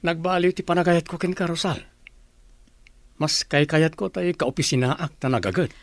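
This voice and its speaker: Disillusioned young Ilocano suitor, played by a man: regretful yet frank, gravelly edge, radio drama, close dry sound. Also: fairly high pitch, normal speed, no room sound, close to an everyday voice. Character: disillusioned young village boyfriend, blunt and regretful.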